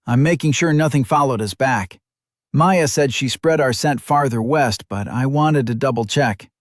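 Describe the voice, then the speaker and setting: A man voicing a quick, jittery young male archer scout in an audiobook, his talk a bit spastic. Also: medium pitch, no echo, studio quality, pitched above the performer's own voice, natural voice. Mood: urgent reporting.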